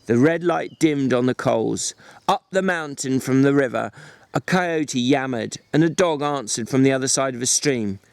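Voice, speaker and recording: A man's voice: medium pitch, moderate pace, gravelly, clean tone, no echo. A man narrating a novel in a dramatic reading.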